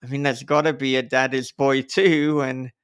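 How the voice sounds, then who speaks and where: A man's voice: medium pitch, flat delivery, moderate pace, fairly bright tone, clear, resonant tone. A man hosting a podcast in casual conversation, talking in a chatty, rambling way with a chuckle now and then. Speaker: podcast host and horror author.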